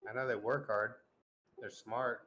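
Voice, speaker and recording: A man's voice: medium pitch, steady tone, measured pace, pushed and bright. A man in his forties chatting casually, loose and profane, teasing the others with jokes. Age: 40s. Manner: loose, profane, joking banter. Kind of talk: casual group chat.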